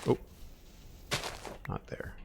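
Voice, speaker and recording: A young man with a deep voice chatting casually on a live stream, thinking aloud as he builds, into a desk mic at home. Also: extremely slow, dark tone, slight background noise. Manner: rambling, thinking aloud, counts blocks under his breath. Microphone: desk microphone with headphones.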